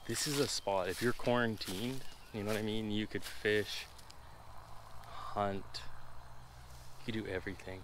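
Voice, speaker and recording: A man's voice: lowish voice, steady tone, unhurried, pauses often, noisy background. A man casually vlogging from a rural farm, chatty and rambling, with lots of fillers. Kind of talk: casual handheld vlog narration.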